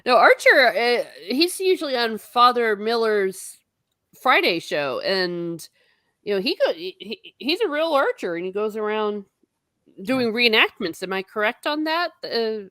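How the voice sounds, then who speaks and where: A woman's voice: a little high, very animated, measured pace, pushed and bright, no echo, studio quality. A woman hosting a casual livestream from her home, chatting, laughing often and reading viewer comments aloud. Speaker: stream host.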